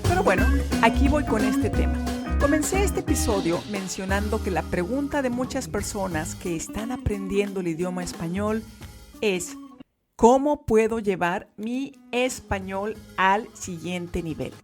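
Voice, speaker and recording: A woman teaching Spanish to learners in a podcast lesson, speaking clearly and emphatically. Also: medium pitch, animated, a little slow, over music.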